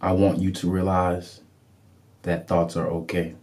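A man leading a guided meditation in short repeated phrases, with a calm, deliberate, soothing way of instructing. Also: low-pitched.

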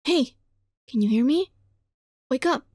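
A woman's voice: high voice, measured pace, no room sound, studio quality. A woman hosting a gaming video, reading a visual novel aloud expressively and adding casual, giggly reactions. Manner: expressive, dramatized read-aloud with giggly asides. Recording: music behind the voice. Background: game music.

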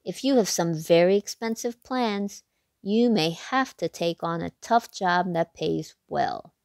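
A woman hosting a read-aloud, reading a children's picture book expressively, with big excited leaps in pitch. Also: a little slow, creaky, dry recording, studio quality.

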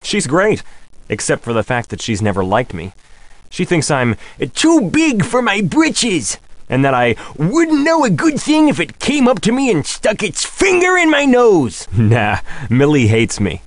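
An actor playing a vain, smooth-talking soap opera heartthrob in a video game, with a cocky, teasing, flirtatious manner. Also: male voice, sits high, wildly swooping pitch, measured pace, no echo, exaggerated voice. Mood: wry, exasperated. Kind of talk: video game character dialogue.

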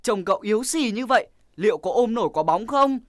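Casting: actress as boastful, burly cartoon kid, high-pitched and very animated, cocky teasing. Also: female voice, fairly quick, no echo, studio quality, close to an everyday voice. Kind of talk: children's cartoon dubbing.

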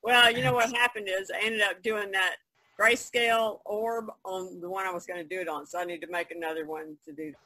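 Female podcast participant, artist. Bright, forward voice, dry close sound, casual explanatory chat on a video call. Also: mid-range voice, steady tone, a little fast, no room sound, poor audio. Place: online video conference call, indoors.

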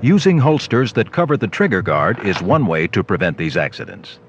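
A man's voice: on the low side, very animated, normal speed. A man reading scripted instructional narration for a training film. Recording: dull lo-fi old videotape with noise.

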